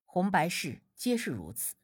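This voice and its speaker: Young male healer for an audiobook woman performs him: low, soft-edged husky voice, calm, measured, gentle. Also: no echo, studio quality, full character voice. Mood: calm explaining.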